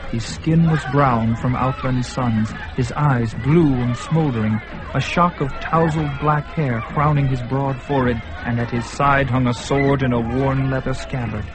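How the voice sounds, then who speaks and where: A man's voice: medium pitch, fairly even intonation, unhurried, rough recording. A man narrating a radio drama in a vivid, dramatic way. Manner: vivid, building intensity.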